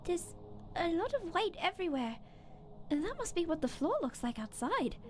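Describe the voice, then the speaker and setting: A woman voicing an innocent, reassuring young skeleton brother in an animated comic dub, speaking simply and earnestly. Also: extremely high pitch, normal speed, slight background noise. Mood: gentle comforting. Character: hopeful young skeleton brother, a comforting, innocent little boy.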